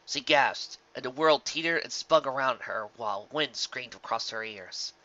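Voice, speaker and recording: A man narrates a story from a script with dramatic expression. His mid-pitched voice is very bright and tense, and the recording sounds a little dull and lo-fi. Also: normal speed. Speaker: narrator reading fan fiction.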